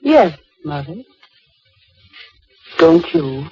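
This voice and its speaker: A haunted young radio heroine. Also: female voice, deep voice, big pitch swings, extremely slow, very noisy, full character voice. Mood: grave. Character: haunted young daughter recounting a ghostly revenge. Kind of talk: old-time radio drama narration and acting. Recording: muffled old radio recording with music.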